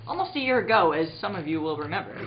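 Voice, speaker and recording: A woman's voice: on the low side, very animated, quick pace. A woman narrating a radio play reading in a playful announcer style, giggly and prone to ad-libbed asides. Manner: giggly, breaking into laughter while reading. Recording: echoey room, muffled, noticeable background noise.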